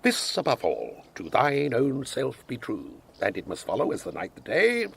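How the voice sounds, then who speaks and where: An actor playing a pompous, wordy old father in Shakespearean style, lecturing his grown children emphatically in a gravelly, husky voice. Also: medium pitch, huge pitch swings, moderate pace, fairly bright tone, slight background noise, heavily acted. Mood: blustering, advising. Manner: declamatory, emphatic, sententious. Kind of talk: Shakespearean stage acting.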